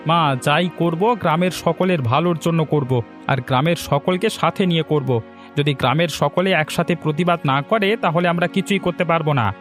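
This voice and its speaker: A man's voice: a little high, normal speed, soft background music, natural voice. A man voicing a poor, idealistic young village son in a cartoon, earnest and resolute. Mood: resolute, reassuring. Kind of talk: Bengali fairy-tale cartoon dubbing.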